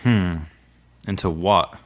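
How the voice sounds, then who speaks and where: Radio drama role, played by a man: sincere, devout, plain-spoken man, lowish voice, saddened in love. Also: slow. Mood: wounded, questioning. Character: earnest, devout man saddened by a fading romance.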